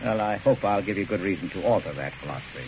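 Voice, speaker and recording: Casting: cultured doctor among crooks, polite, erudite, very varied intonation, dull old radio recording. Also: male voice, low-pitched, lively intonation, quick pace, a little mellow, slight background noise. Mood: suave, pleased. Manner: polite, professorial, hesitant, wordy. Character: genteel, erudite male doctor posing as cool criminal. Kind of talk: 1940s radio acting.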